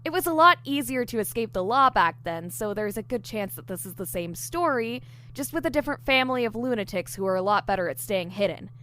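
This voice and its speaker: A woman's voice: mid-range voice, expressive, brisk, a bit bright, a little hiss or hum, lightly acted. A woman playing an eager, diligent newly hired investigator in an audio drama, explaining things earnestly. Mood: earnest, speculative. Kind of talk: audio drama dialogue, explaining case theories.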